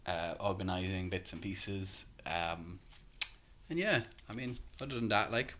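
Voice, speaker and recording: A man with an Irish accent and a deep, low voice, chatting as a guest on a podcast. He talks casually, stopping often to say um and restart his sentences. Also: measured pace, fairly bright tone. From Ireland. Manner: hesitant, many ums and restarts.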